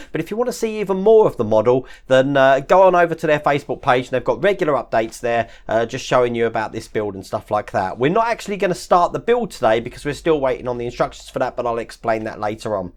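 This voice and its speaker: A man with a hoarse, chesty voice talks casually through an unboxing on his hobby channel. He rambles, piles on fillers and drops small laughs between thoughts. His voice sits a little higher than most men's. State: recovering from chest infection, hoarse deep voice. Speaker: model-kit channel host.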